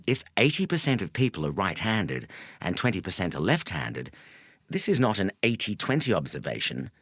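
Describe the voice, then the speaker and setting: A man reading a nonfiction audiobook in a measured, articulate way with emphatic phrasing. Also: low-pitched, huge pitch swings, a bit bright, husky, dry recording, clean studio sound. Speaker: audiobook narrator. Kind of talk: scripted audiobook narration.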